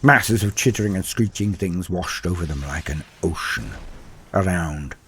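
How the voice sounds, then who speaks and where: A man's voice: sits low, normal speed, a bit bright, a little hiss or hum. A man reading a scripted war story as narrator, in a dramatic storytelling style. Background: faint music.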